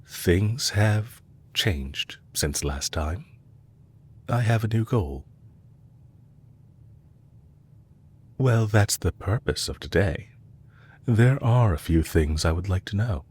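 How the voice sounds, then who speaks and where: Guy doing a polite calculating villain boss, really low husky voice, softly teasing the listener, audio roleplay. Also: expressive, slow, exaggerated voice. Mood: politely probing. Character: courteous, calculating male villain, leader of an enemy organization. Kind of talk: intimate scripted audio roleplay addressed to the listener.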